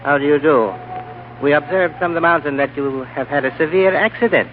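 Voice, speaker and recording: A man's voice: fairly high pitch, a little hiss or hum, close to an everyday voice. A man playing Chang, suave courtly guide. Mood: calm courtesy. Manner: formal, courtly and measured. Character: suave male lamasery guide, polite and insistent. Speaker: radio drama actor. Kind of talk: 1930s radio drama acting. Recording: tinny old radio broadcast recording.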